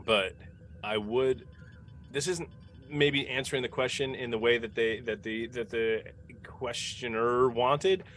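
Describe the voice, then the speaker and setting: A man talking casually as a panelist, halting and restarting phrases as he thinks aloud. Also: medium pitch, fairly even intonation, a bit bright, a little hiss or hum. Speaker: cast member and panelist.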